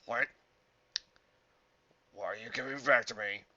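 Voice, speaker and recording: A man acts out a storybook adventurer archaeologist with little patience. His mid-pitched voice is gruff and very forceful as he makes his demands. The recording is a bit dull and lo-fi. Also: measured pace. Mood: baffled, demanding. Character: angry, impatient male adventurer archaeologist.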